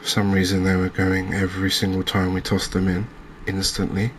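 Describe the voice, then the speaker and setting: A man giving relaxed, understated ASMR-style commentary as host of a gaming channel. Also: low-pitched, a little fast, slight background noise.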